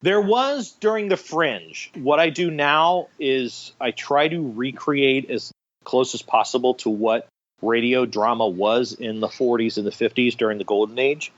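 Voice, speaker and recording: A man's voice: medium pitch, measured pace, muffled recording. A middle-aged man telling anecdotes enthusiastically as an interview guest, in a conversational storytelling style. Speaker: guest, radio theater director.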